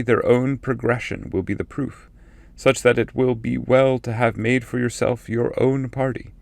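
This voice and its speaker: A man voicing a grave, fatherly ancestral spirit who foretells exile in solemn, measured verse from an epic poem. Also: mid-range voice, creaky, no room sound.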